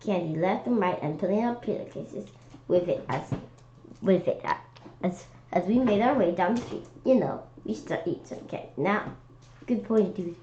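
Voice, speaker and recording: A woman's voice: mid-range voice, moderate pace. Woman reading aloud, dull noisy recording. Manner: animated, exclamatory, stumbles over words while reading. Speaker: read-aloud storyteller for children. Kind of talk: expressive children's book read-aloud. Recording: dull lo-fi sound with clear background noise.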